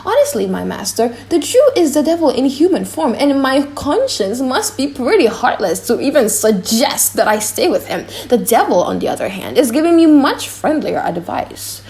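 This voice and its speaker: Comic audiobook: female reader as dithering male servant clown, raised pitch, animated, rambling. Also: normal speed, hardly any pauses. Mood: comic, scheming. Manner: animated, rambling, self-correcting.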